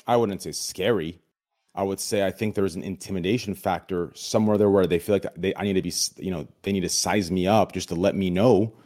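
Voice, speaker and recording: A deep-voiced man chatting candidly as an interview guest, excitable and blunt, swearing casually and often checking that he is understood. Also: fairly quick. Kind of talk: casual confessional chat.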